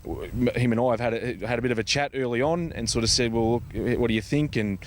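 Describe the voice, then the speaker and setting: An Australian man giving informal interview answers as a guest, speaking in a hesitant, halting way with many pauses. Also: medium pitch, a little hiss or hum. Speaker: interview guest, charity foundation organiser.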